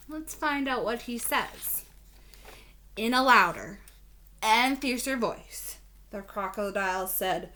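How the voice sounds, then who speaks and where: A woman teacher reading a children's story aloud, expressive and deliberate, prompting young listeners with questions. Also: a little high.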